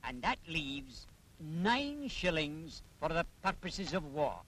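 A knowing Scottish man lectures in a high, swooping voice. He explains things slowly and emphatically, in the manner of a 1940s public information film. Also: big pitch swings, a bit bright, a little hiss or hum, full character voice. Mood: patiently explaining. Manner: didactic, emphatic, theatrical.

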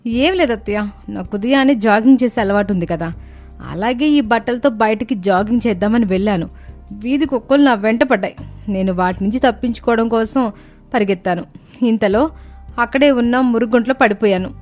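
A woman playing a cheerful, eager young city-bred daughter-in-law in an animated moral story. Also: fairly high pitch, a little fast, natural voice. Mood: explaining. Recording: music bed under the voice.

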